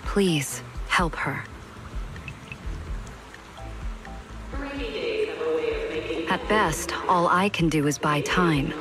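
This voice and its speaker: A woman voice acting a composed, dutiful department head in a video game, speaking in a measured, formal and resolute way. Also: on the low side, a bit bright. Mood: earnest, solemn. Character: composed, dutiful female department head, shrewd political strategist.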